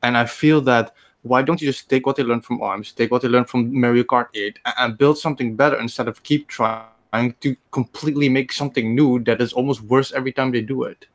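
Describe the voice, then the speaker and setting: A man's voice: medium pitch, steady tone, a little fast, hardly any pauses. A man talking casually as a co-host in a gaming podcast discussion.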